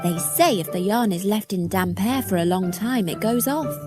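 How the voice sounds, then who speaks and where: A woman's voice: mid-range voice, normal speed, close to an everyday voice. A woman playing a young fairy-tale girl in a cartoon story for children. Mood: worried. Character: worried, helpless young girl given an impossible task. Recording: music under the voice.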